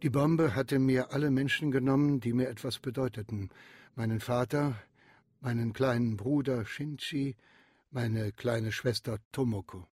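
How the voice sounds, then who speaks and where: A man's voice: mid-range voice, steady tone, measured pace, darkish tone, husky, no echo. An actor playing a grave, bitter man who recalls his wartime childhood, narrating a radio drama. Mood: mournful. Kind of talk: scripted first-person dramatic narration.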